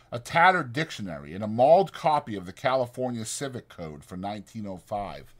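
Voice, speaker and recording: A man's voice: medium pitch, a bit bright. A man reading a novel aloud as scripted, dramatized audiobook narration.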